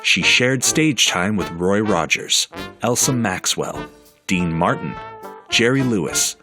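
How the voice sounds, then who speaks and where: A man narrating a documentary in an even, measured scripted delivery. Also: lowish voice, music in the background.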